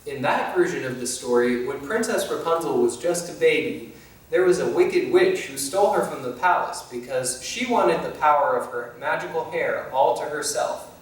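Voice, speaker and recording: A man's voice: fairly high pitch, expressive, normal speed, close to an everyday voice. A man playing an easygoing young fairytale thief, telling stories to children in a friendly way. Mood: friendly, explaining. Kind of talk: scripted children's educational presentation in character. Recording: very echoey room, distant mic, faint music.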